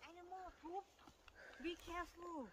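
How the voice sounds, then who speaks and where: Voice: very high-pitched, fairly even intonation, normal speed, a bit bright. A companion on a forest walk, speaking in short, worried remarks in non-native English, heard from some distance away. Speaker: walking companion. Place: cold forest outdoors.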